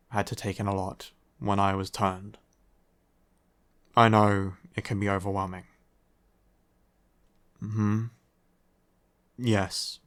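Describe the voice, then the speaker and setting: A man playing a grumpy young male vampire in an intimate audio roleplay, curt and deadpan, with a reluctantly caring streak. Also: fairly low pitch, clear, resonant tone. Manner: deadpan, curt, dry sighing delivery. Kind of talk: audio roleplay, intimate ASMR-style acting.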